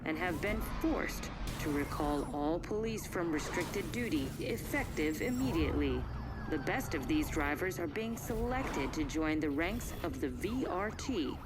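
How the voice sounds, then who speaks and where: Game news reporter: slightly low, composed, formal, measured, with music behind. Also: female voice, expressive. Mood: urgent, formal. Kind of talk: scripted news bulletin in a video game.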